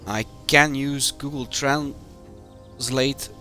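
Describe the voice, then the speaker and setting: A man from Belgium, a native Dutch speaker with a Belgian Dutch accent, commentates a live game stream in halting, short phrases. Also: medium pitch, steady tone, bright, forceful tone, faint music behind. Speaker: esports match commentator, community manager.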